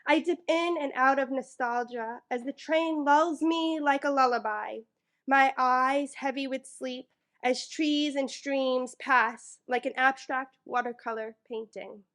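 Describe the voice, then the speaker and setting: A woman's voice: high-pitched, unhurried, fairly bright tone. A woman from New York reading her own poems to a live audience in a library, a little nervous, with many fillers between pieces. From New York, United States. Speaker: featured poet. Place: public library event room. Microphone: microphone in front of a live audience.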